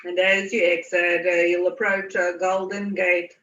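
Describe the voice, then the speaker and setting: A woman leading a guided meditation in a soothing manner, with unhurried pauses and repeated phrases. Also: fairly low pitch, flat delivery, a bit bright, slight background noise. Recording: muffled, echoey, distant from mic.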